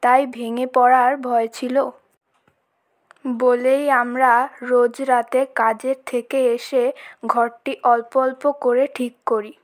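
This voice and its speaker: Casting: woman as humble young villager child, high voice, little inflection, plain-spoken cartoon role. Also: level tone, slow. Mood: weary, matter-of-fact. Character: poor humble village child caring for a deaf father. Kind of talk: cartoon story dialogue.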